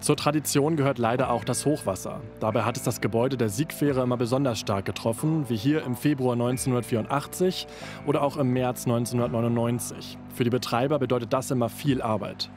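A man's voice: medium pitch, brisk, a little mellow, soft background music. A man reading a scripted report voice-over.

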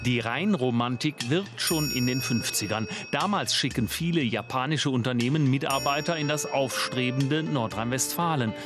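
Guy doing scripted tv report voiceover, average pitch, music bed pretty loud. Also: normal speed, hardly any pauses. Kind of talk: scripted narration.

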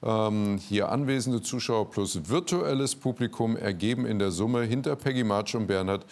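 A man's voice: on the low side, moderate pace, a little mellow. A man hosting a quiz show in a TV studio, presenting live to an audience and guests. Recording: studio with music and audience behind.

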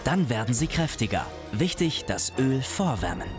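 Guy doing scripted doc voice-over, measured and a bit wry, music underneath. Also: mid-range voice, big pitch swings. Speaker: documentary narrator.

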